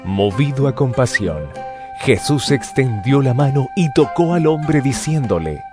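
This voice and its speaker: Animated male Bible narrator over music. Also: mid-range voice, measured pace. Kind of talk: scripted dramatized Bible narration. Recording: music bed behind the voice.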